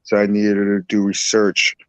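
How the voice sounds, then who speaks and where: A man from Barbados, a guest herbalist and boxer, talking in a relaxed, rambling way with lots of repeats. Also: fairly low pitch, moderate pace, smooth, dry recording. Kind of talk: casual interview talk.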